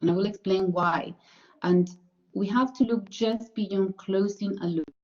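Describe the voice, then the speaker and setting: A woman's voice: medium pitch, steady tone, unhurried, darkish tone. Colombian woman presenting research, lo-fi audio. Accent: Colombian Spanish. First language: Spanish. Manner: earnest, careful, with many fillers and self-corrections. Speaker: PhD researcher. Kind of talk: academic presentation.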